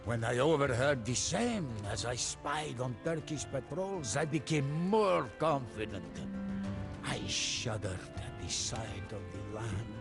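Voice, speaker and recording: An actor playing a solemn storyteller who recounts a medieval warlord's tale in dramatic game cutscene narration. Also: male voice, medium pitch, takes it slowly. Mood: weary, hopeful. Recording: music behind the voice.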